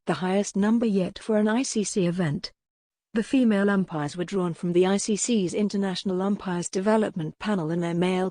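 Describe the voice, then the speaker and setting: Female reader for scripted factual passages; relaxed, warm timbre, dry studio-like sound. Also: medium pitch, no echo. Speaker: sample answer reader. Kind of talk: scripted read-aloud narration.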